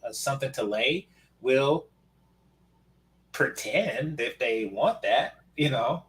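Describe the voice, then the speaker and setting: A man's voice: mid-range voice, slow, fairly bright tone, low-quality recording. A man from Oakland chatting casually as a podcast co-host, telling stories in a wry, matter-of-fact way. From Oakland, California. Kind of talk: casual chat.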